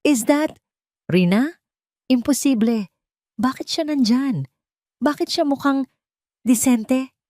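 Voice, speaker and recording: A haughty, jealous mistress from an audio love drama, played by a woman narrator. She nags and complains in a raised pitch, with a close, dry sound. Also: takes it slowly, pauses often, dark tone, dry recording. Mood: shocked disbelief.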